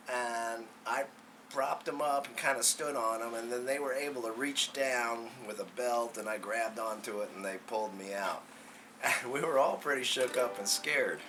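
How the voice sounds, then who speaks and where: An older man in his sixties tells stories from his childhood in a casual, folksy voice. He rambles a little and gets animated as he goes, with music clearly playing along. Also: mid-range voice, poor audio. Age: 60s. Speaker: storyteller, dad hosting a story show. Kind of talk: casual storytelling of childhood memories.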